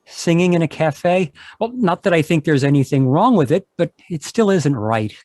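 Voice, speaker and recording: A man's voice: fairly high pitch, lively intonation, normal speed, a little mellow, no echo, close to an everyday voice. A man playing an earnest, pleading young gold prospector in love, in old-time radio drama style. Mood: pleading, smitten.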